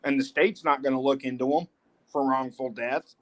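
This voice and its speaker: A man's voice: mid-range voice, fairly even intonation, normal speed, a bit bright. A man talking earnestly and insistently as a guest on a casual livestream.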